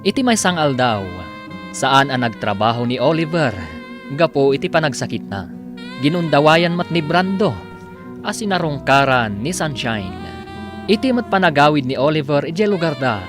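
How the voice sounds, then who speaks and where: A man announces a radio drama serial in a theatrical, scripted manner. His slightly high voice moves widely in pitch and draws out titles, with clear music behind. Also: lively intonation, normal speed.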